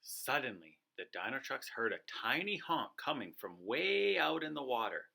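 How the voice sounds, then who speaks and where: A man narrating a children's storybook aloud in an expressive, dramatic storytelling style. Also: medium pitch, normal speed, vocal fry. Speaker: co-reader of a children's read-aloud.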